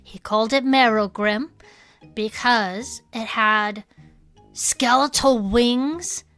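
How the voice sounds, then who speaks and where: An actress playing an earnest small-town cryptid club member in an audio drama, telling local monster lore in a folksy, suspenseful way. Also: female voice, a little high, slow, a bit bright, soft background music. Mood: eerie, suspenseful. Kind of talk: conversational audio fiction storytelling.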